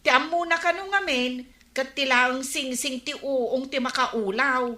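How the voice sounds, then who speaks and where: A woman's voice: high voice, measured pace, sharp tone. A woman playing a gossipy village woman who brings neighbourhood news, in an Ilocano radio comedy drama. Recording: roomy, echoing radio drama recording.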